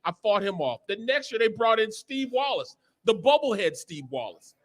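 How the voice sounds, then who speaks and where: A man's voice: sits high, sing-song, normal speed. A middle-aged American man, a former football player, telling stories on a sports panel in an emphatic, repetitive, slangy way. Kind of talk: animated locker-room storytelling on a sports panel.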